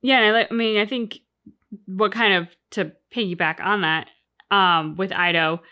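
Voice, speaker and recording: A woman's voice: mid-range voice, fairly even intonation, normal speed, pushed and bright. A woman hosting a reaction channel, talking casually and reflectively as she discusses a game's story in an earnest way. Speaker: host of a game reaction channel.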